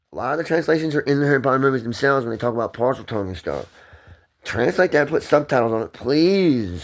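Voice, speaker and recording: A man talking to the camera in a rambling, swearing monologue that jumps between topics. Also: fairly low pitch.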